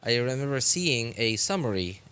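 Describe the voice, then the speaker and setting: A man's voice: medium pitch, expressive, moderate pace, fairly bright tone, clean tone. A man in his thirties chatting casually with stream viewers, rambling with many fillers and restarts and chuckling often. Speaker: live game streamer.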